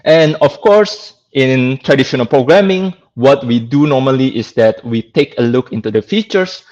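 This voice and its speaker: A man's voice: medium pitch, expressive, moderate pace, a little mellow, lo-fi sound. A man with a Singaporean accent gives an informal online technical lecture as a guest speaker, talking conversationally and often checking in with "right?".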